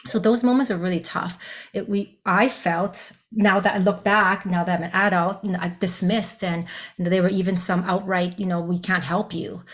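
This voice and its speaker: A woman's voice: on the low side, steady tone, normal speed. A woman whose first language is Cantonese, a psychologist and counselor educator, telling her personal story in an earnest, conversational way on a video call. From Vietnam. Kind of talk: virtual presentation, personal story.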